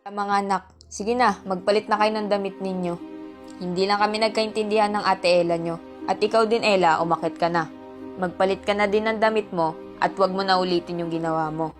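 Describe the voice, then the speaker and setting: A woman's voice: medium pitch, fairly even intonation, brisk, lightly acted. A woman playing an anxious, stern mother in an amateur radio drama. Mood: weary, conciliatory. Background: background music.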